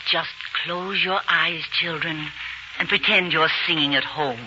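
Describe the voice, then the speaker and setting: A woman's voice: deep voice, measured pace. A woman playing a gracious, reassuring queen in an old radio drama. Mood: soothing. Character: gracious, reassuring monarch addressing children. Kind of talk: 1940s radio drama acting. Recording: noisy, muffled old radio recording.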